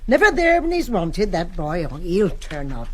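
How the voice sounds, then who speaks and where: An actress playing a tart English mother, brisk and scolding in a stage drama. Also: on the low side, huge pitch swings. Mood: scolding worry.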